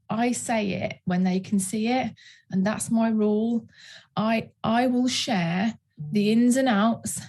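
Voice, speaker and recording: A calm, measured and reassuring woman with a slightly husky voice, giving a sales training presentation. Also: mid-range voice. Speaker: guest trainer for direct-sales team. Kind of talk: training presentation over video call.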